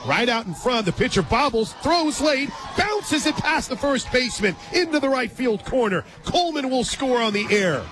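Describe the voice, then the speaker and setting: A man with an American accent calling softball play-by-play for radio in a conversational, excitable manner. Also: very high-pitched, moderate pace, few pauses. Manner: conversational, excitable on big plays. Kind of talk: live softball commentary. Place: outdoor stadium radio booth. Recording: loud crowd and music, outdoors. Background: loud crowd and music.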